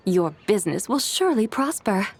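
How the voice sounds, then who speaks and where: A woman's voice: medium pitch, sing-song, a little fast, a little hiss or hum. A woman voicing a serene otherworldly female immortal in a video game, courteous and composed, with formal, poetic phrasing. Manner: formal, composed, flowery diction.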